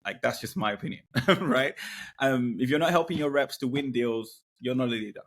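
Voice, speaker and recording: A man's voice: medium pitch, normal speed, fairly bright tone. A man speaking as a podcast guest about sales leadership, blunt and opinionated, often laughing between points. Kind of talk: casual business podcast chat.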